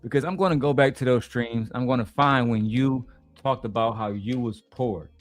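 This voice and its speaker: Blunt, profane streamer reacting. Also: male voice, mid-range voice, moderate pace, dry recording, soft background music. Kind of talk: casual reaction commentary.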